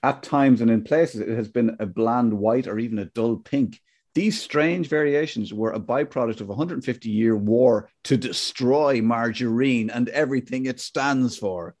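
Medium-pitched man hosting a casual chat. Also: normal speed, darkish tone. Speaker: podcast host. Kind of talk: casual chat, reacting and reading aloud.

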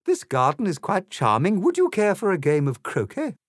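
A man's voice: high voice, wildly swooping pitch, normal speed, no echo, studio quality, voice pushed up. A man voicing an imperious, cruel queen of a card kingdom who speaks grandly and screams in bursts. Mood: falsely gracious.

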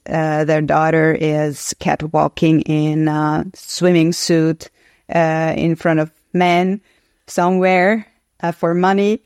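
A woman's voice: low-pitched, a little mellow, dry recording, studio quality. A woman in her forties from Hungary, a native Hungarian speaker with a Hungarian accent, telling her story earnestly and reflectively in an interview. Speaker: guest actress.

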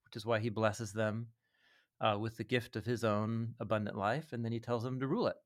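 A man's voice: fairly low pitch, fairly even intonation, a little fast. Thoughtful man explaining in conversation. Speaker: podcast guest, Bible teacher.

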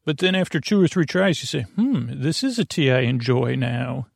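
A man hosting a bedtime podcast in a creaky voice, meandering and repetitive, halting and wandering off on tangents. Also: mid-range voice, lively intonation, no room sound, clean studio sound. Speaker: podcast host. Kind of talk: rambling bedtime podcast monologue.

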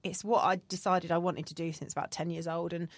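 A woman's voice: fairly low pitch, level tone, brisk, dry recording. Chatty woman in her thirties. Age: 30s. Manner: chatty, candid, frequent fillers. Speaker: podcast co-host. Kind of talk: casual chat.

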